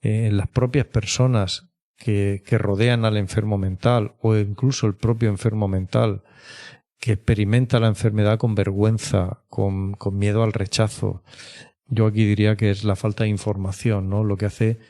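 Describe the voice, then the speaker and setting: A man with a Spanish accent speaks as an expert guest in an interview. His voice is low and very mellow, and he explains things in a measured, reflective way. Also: level tone. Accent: Spain.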